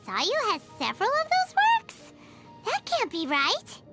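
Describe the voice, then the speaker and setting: Squeaky, very high-pitched little fairy companion. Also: female voice, wildly swooping pitch, unhurried, exaggerated voice. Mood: indignant, bewildered. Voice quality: squeaky, childlike. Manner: exclamatory, refers to self in third person. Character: tiny excitable childlike female fairy companion, naive and easily indignant. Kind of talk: animated video game voice acting. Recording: loud music under the voice. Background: loud background music.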